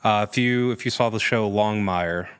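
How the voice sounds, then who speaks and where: A man's voice: lowish voice, moderate pace, clean tone. A man chatting casually as a podcast guest, answering questions with joking asides.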